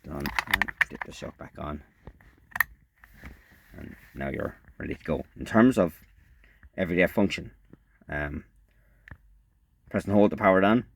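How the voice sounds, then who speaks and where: Man, very low voice, casual product reviewer, halting, matter-of-fact, unscripted. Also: creaky, slight background noise.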